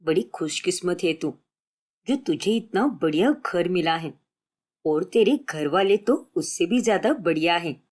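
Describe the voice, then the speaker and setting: A woman's voice: lowish voice, animated, a little slow, darkish tone, pitched below the performer's own voice. A woman voicing a humble middle-aged mother in a cartoon story, gushing with wide-eyed admiration. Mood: awed.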